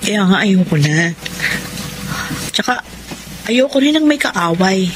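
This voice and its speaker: A woman's voice: mid-range voice, expressive, slow pace, background noise. A woman playing a modest, hurt young tutor in a radio drama, speaking politely and earnestly. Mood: resigned. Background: music.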